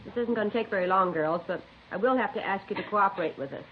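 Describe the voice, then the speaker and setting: A woman's voice: medium pitch, fast, a little hiss or hum, natural voice. An actress playing an orderly, courteous but firm policewoman in an old radio drama, giving composed instructions on a muffled recording. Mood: firm, instructing. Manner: clear instructional delivery, composed. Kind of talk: 1950s radio drama acting.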